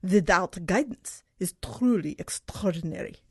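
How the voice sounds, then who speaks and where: A woman acting a calculating yet kindly-seeming mentor, with a husky voice and stilted, oddly phrased formal speech, in an amateur audio drama. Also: fairly low pitch, lively intonation, measured pace, darkish tone, no room sound, clean studio sound, full character voice. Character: kindly-seeming, calculating Sith mentor, quaintly foreign-sounding.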